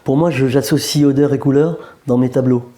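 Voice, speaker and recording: A man's voice: medium pitch, fairly even intonation, a little fast, mellow. A man from Algeria, a painter, chatting in an interview in a gallery, with many hesitations and joking asides. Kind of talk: casual interview. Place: art gallery room.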